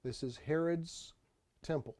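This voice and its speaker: A man giving an unscripted tour voice-over, explaining sights in a halting way and often repeating his phrases. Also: fairly high pitch, darkish tone. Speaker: tour narrator. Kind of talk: unscripted travel video voice-over.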